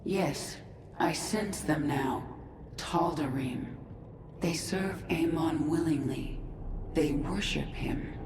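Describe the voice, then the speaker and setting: A woman's voice: low-pitched, slow, noisy background. A woman playing a fierce, vengeful commander of an alien swarm in a video game, speaking with menacing authority. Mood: grim resolve. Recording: echoey, distant, under loud game music.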